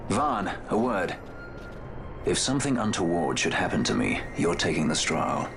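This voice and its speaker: A man's voice: sits low, very animated, moderate pace, gravelly, noisy background, heavily acted. A man playing a suave, self-assured rogue pilot in a video game, wry and casual. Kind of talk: video game cutscene acting.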